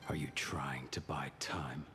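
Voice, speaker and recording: An actor playing a weary, brooding man in a video game, speaking gravely in a breathy near-whisper. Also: a bass voice, steady tone. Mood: low, guarded. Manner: slow, grave, measured. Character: weary, resigned man settling his past, terse and brooding. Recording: loud game music behind. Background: game music.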